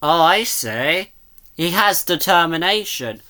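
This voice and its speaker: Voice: mid-range voice, animated, takes it slowly, pushed and bright, slight background noise. A person giving casual, rambling reaction commentary, thinking aloud and speculating as the host.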